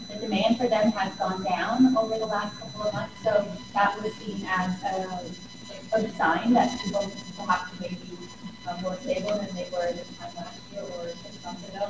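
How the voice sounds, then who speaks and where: A woman on a community panel, answering a question thoughtfully with fillers and pauses. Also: medium pitch, very animated. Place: large community hall. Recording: echoey hall, distant with hum.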